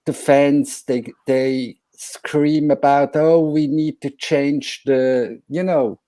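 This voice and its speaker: Bright-voiced man, emphatic, close and dry. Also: a little high, fairly even intonation, takes it slowly, no room sound. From Switzerland. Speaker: guest, businessman and former football player. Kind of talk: animated opinion talk about football.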